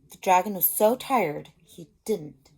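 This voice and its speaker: A woman's voice: on the low side, lively intonation, measured pace, darkish tone, breathy edge. A woman teacher reading aloud and explaining a simple lesson to young children step by step. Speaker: elementary school teacher.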